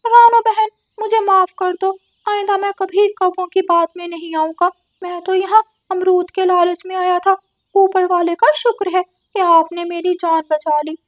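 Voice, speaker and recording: A woman acts out a trusting male parrot for a children's cartoon. His voice is extremely high, and he speaks with naive, excitable emphasis. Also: measured pace, no room sound, muffled recording. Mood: apologetic. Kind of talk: Hindi children's cartoon dialogue.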